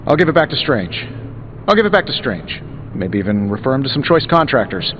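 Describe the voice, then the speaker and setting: Man as glib rich inventor, sarcastic, breezy, medium pitch, game dialogue, slightly muffled sound. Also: big pitch swings, a little fast, natural voice. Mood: flippant. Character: glib, cocky wealthy male inventor hero. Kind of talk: video game voice acting. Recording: dull band-limited game audio over music.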